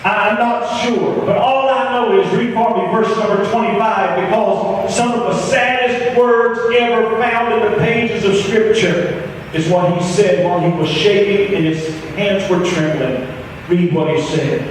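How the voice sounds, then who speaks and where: The kind of fervent American pastor you would hear from the back pew of a big reverberant church, his high voice repeating each plea dramatically, far from the microphone. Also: measured pace. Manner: dramatic, repeats phrases for emphasis, swinging between hushed and raised. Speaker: pastor preaching a sermon. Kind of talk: fervent revival-style sermon to a congregation. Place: large church sanctuary. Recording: very echoey hall, distant mic, clear background noise.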